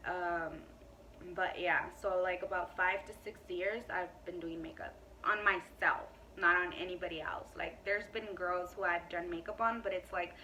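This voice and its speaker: A woman's voice: fairly low pitch, fairly even intonation, normal speed, sharp tone, recorded from a distance, a little hiss or hum, low-quality recording. A woman in her twenties chatting casually to camera in a beauty Q&A, emphatic and full of "like" and "you know.". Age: 20s. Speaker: beauty vlogger.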